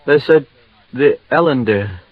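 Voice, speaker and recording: A man playing a shocked, faltering businessman who speaks in halting fragments in a 1950s radio drama. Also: mid-range voice, expressive, dry recording. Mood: horrified disbelief. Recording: dull vintage radio recording, faint music.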